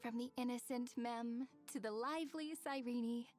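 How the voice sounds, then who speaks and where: A playful young woman from a fantasy game , voiced by an actress, tender and coy. Her voice sits high and she speaks intimately to the listener, with soft music behind her. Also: fairly even intonation, moderate pace, close to an everyday voice. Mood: tender, wistful. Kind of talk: anime game character voice acting. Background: background music.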